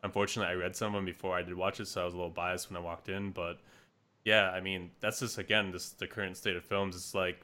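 A man's voice: deep voice, flat delivery, fast talker, sharp tone. A man co-hosting a podcast in casual chat, rambling with frequent hesitations. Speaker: podcast co-host.

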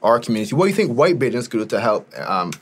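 A man with a Barbadian accent hosting a casual interview, with halting questions and quick repeated affirmations. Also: mid-range voice, very animated. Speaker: podcast host.

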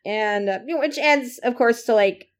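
A woman's voice: fairly high pitch, moderate pace. A woman co-hosting a casual fan podcast, rambling through her points with lots of fillers. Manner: rambling, heavy use of "like" and "uh". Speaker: podcast co-host.

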